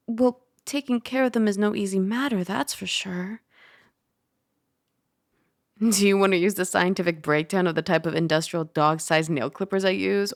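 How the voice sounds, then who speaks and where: A woman playing a caring, teasing young dragon girl roommate in a soft-spoken ASMR roleplay, gentle and conversational on a close mic. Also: medium pitch, dry recording, lightly acted. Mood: teasing, puzzled. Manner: gentle, playful, conversational, with sighs and hushed asides. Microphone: close microphone.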